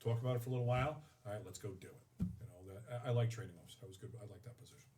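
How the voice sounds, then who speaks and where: A middle-aged man speaks at an average pitch. His delivery is rapid and candid, with repeated words as he searches for phrasing. He sits a little far from the microphone. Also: a little mellow. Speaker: guest, firefighting instructor and training officer. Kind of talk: candid conversational interview. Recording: voice slightly distant from the mic.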